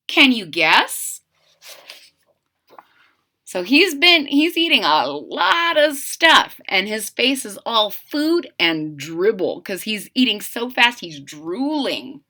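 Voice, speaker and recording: Woman, children's read-aloud, high voice, unhurried pace, theatrical emphasis, explanatory asides. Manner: animated, theatrical, drawn-out emphasis. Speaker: children's story reader and channel host. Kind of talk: expressive picture-book read-aloud with questions to young listeners.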